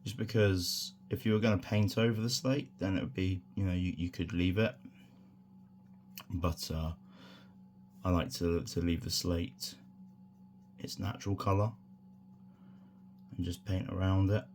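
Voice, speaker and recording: A man with a croaky voice from a sore throat, talking through a hobby tutorial in a relaxed, rambling way with many fillers. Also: sits low, unhurried, long pauses, slight background noise. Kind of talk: casual hands-on tutorial.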